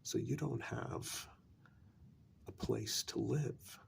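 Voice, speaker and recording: Man playing a gravelly, soft-spoken vampire envoy. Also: medium pitch, slight background noise, exaggerated voice. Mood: frank, pressing. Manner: slow, deliberate, with long pauses and firm emphasis. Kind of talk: soft-spoken ASMR role-play monologue.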